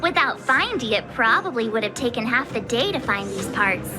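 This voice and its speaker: A woman voicing a perky, excitable teenage girl adventurer in a video game, bubbly and playful. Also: on the high side, moderate pace. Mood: cheerful. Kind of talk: anime-style video game voice acting. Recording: game audio with music behind.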